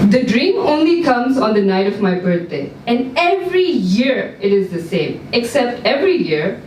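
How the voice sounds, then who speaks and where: A woman giving a live dramatic reading of a short story, with measured, suspenseful delivery. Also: medium pitch, darkish tone. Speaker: story reader. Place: indoor event room, live reading. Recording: roomy, distant mic, background noise, live venue.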